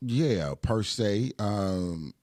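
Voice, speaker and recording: A man's voice: lowish voice, animated, extremely slow, darkish tone, no room sound, studio quality. A man in his thirties with an Atlanta accent, telling stories as a guest in a casual podcast interview, laid-back with lots of fillers. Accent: Southern US, Atlanta. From Atlanta, Georgia. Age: 30s. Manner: laid-back storytelling with frequent fillers and laughs. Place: podcast studio.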